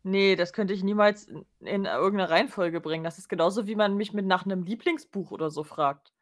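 A woman's voice: medium pitch, a little fast. A woman in casual podcast chat as a co-host, matter-of-fact and dryly teasing.

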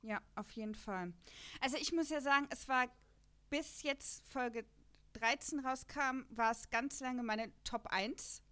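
A woman's voice: on the high side, measured pace, a bit bright, clean tone. A young woman co-hosting a casual fan podcast, chatty and laughing often between filler words. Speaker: podcast co-host.

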